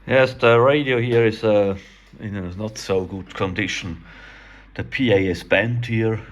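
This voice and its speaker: A man with a non-native English accent casually narrating a hands-on demonstration as a radio hobbyist, speaking haltingly in short, simple phrases. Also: lowish voice. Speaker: radio repair hobbyist presenting.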